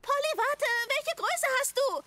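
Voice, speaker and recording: A woman's voice: very high-pitched, animated, measured pace, background noise. A woman voicing a sweet, cautious, slightly awkward young girl in a German cartoon dub, with a hesitant manner. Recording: loud music underneath. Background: music.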